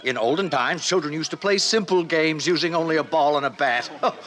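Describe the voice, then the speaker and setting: An actor playing a smug, bubbly director lecturing students in a 1950s radio drama, with pompous showmanship. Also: male voice, fairly high pitch, brisk, a bit bright, lightly acted. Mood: smugly amused.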